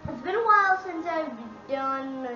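A woman's voice: extremely high pitch, animated, measured pace, pushed and bright, echoey room, far from the mic, lo-fi sound, soft background music. A woman improvising a video game role play in a playful, exclamatory way, on a noisy home recording.

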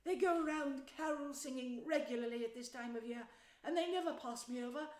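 A woman voicing a gentle male mole from a children's story, earnest, eager and emotional. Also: sits high, expressive, normal speed, reverberant, pitched above the performer's own voice. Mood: touched pride. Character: gentle male mole, proud, eager, easily distressed host. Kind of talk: children's storybook character reading.

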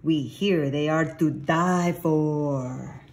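A woman's voice: low voice, drawn-out words, darkish tone, full character voice. A woman voicing chummy, teasing little male Chihuahuas from a storybook, emphatic and sprinkled with Spanish words.

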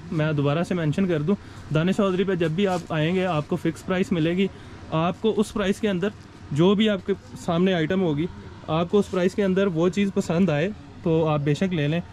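A man with a Pakistani Urdu accent, a little higher in pitch than most men, hosts a casual review of a market shop. He repeats each price back and turns to talk straight to his viewers. Faint street and traffic sound hangs behind him outdoors. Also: fairly bright tone, low-quality recording. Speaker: video host reviewing a shop. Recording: street noise in background.

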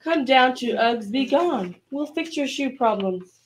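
A woman's voice: sits high, lively intonation, a little slow, slight background noise, low-quality recording. A woman playing a sponsor's announcer in a mock radio advertisement within an amateur play. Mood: salesy. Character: radio sponsor announcer pitching a shoe shop.

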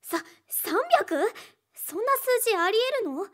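A modest high-school girl from an anime game. Her voice is very high, and she speaks hesitantly, as if unsure of herself. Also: animated, measured pace.

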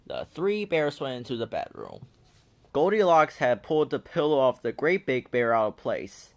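Male storybook narrator for kids, mid-pitched, very animated delivery. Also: moderate pace. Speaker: children's book read-aloud narrator.

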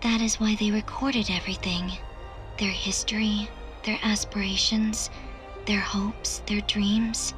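A woman voicing a calm, cryptic AI girl. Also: mid-range voice, close to an everyday voice. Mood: detached. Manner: measured, precise, detached. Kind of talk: video game cutscene dialogue. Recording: game audio with music underneath.

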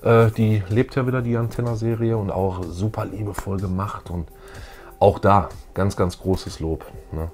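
A man's voice: fairly low pitch, steady tone, moderate pace, darkish tone, faint music behind. A middle-aged man, chatting casually as a co-host in a fan show. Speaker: YouTube co-host. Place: small room full of collectibles, indoors.

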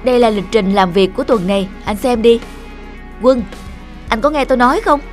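A woman voicing a bossy, stout middle-aged male manager who gives orders and scolds briskly. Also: fairly high pitch, faint music behind, close to an everyday voice. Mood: firm.

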